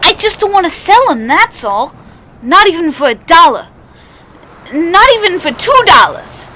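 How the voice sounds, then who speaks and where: Woman playing a high, bright, stubborn street boy. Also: sing-song, measured pace, heavily acted. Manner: slangy, artless kid talk. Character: stubborn, proud young street boy with a pet caterpillar. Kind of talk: old-time radio drama acting. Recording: noticeable background noise.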